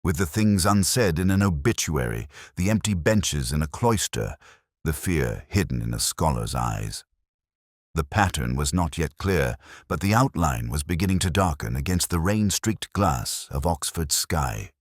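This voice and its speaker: Very deep, brooding detective. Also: male voice, animated, dry recording, studio quality, full character voice. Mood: brooding, reflective. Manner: measured, solemn, literary phrasing. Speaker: narrator. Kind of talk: scripted first-person detective narration.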